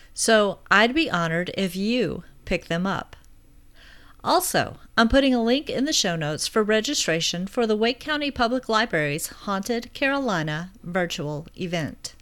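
A woman's voice: medium pitch, expressive, a little slow, fairly bright tone. Lady podcast host, upbeat scripted intro then friendly questions. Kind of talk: podcast interview.

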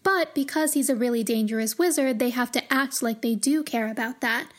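A woman hosting a podcast, giving measured, articulate commentary on a fantasy book series in a scripted, explanatory style. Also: on the high side. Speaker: podcast host.

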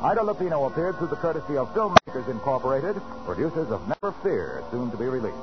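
A man's voice: medium pitch, very animated, fast talker. An American man announcing on 1940s radio with a polished, emphatic scripted delivery. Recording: muffled 1940s radio broadcast with music.

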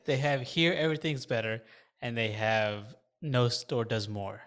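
Male podcast guest, mid-pitched, deadpan and matter-of-fact. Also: unhurried. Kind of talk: casual chat.

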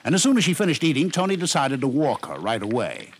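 A man narrating a scripted story for 1950s radio, with measured phrasing and dramatic pauses. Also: mid-range voice, huge pitch swings. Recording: noisy 1950s radio broadcast recording.

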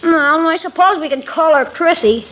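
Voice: extremely high pitch, moderate pace, pushed and bright. A performer playing a cheeky, blunt schoolboy nephew in 1940s radio comedy style. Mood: brash. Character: brash, wisecracking young nephew, a schoolboy. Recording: muffled, hissy 1940s radio broadcast.

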